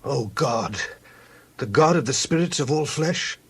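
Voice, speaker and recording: An actor playing an anguished Hebrew leader pleading in prayer in a dramatized scripture reading, with a husky voice and drawn-out phrases. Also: male voice, mid-range voice, lively intonation, a bit bright, heavily acted.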